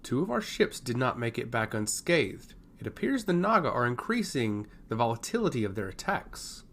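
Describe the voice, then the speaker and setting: A man's voice: medium pitch, very animated, moderate pace, a bit bright, a little hiss or hum. Male reader as an elderly female advisor, stoic, deferential, formal speech, fantasy storybook, varied intonation. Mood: formal, grave.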